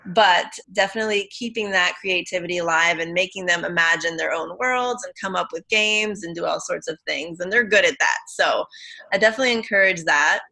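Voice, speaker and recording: A woman's voice: lowish voice, fairly quick, creaky, pushed and bright. A woman in her thirties from Brazil, a guest in a casual interview, friendly and upbeat, laughing easily. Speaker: guest mother.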